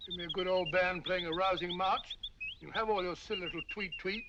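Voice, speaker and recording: A man's voice: high voice, brisk. An actor with a British accent playing a bluff, jovial male doctor, talking in hearty, chuckling banter. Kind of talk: 1940s film acting. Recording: dull, noisy old film soundtrack.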